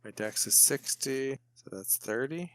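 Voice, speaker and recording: A man's voice: mid-range voice, expressive, measured pace, a bit bright. A man talking casually as a player in a tabletop game, hesitantly thinking aloud.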